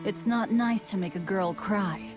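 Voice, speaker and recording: A woman's voice: medium pitch, a little slow, lightly acted. An actress playing a cold, blunt, hardened young female fighter in a video game, speaking curtly and sternly. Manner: curt, stern. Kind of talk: dramatic video game voice acting. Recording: music behind the voice.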